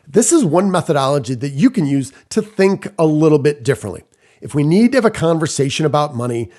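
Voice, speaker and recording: A man's voice: fairly high pitch, darkish tone. A man hosting a podcast, giving scripted business commentary with rhetorical questions and emphatic short phrases. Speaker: podcast host.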